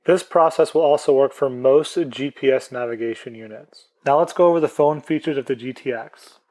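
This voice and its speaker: A man's voice: medium pitch, a little fast, darkish tone. A man presenting an instructional product tutorial, explaining plainly step by step.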